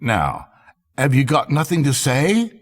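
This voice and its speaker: Man voicing a sly, husky swindler. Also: medium pitch, very animated, a little slow, long reverb tail, voice pushed up. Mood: prodding. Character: sly male swindler posing as a weaver.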